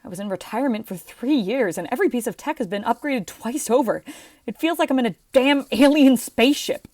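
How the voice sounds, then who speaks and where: A woman's voice: on the high side, expressive, brisk, darkish tone, dry recording, clean studio sound. A woman playing a bitter, self-blaming navy veteran submarine captain in an amateur audio drama.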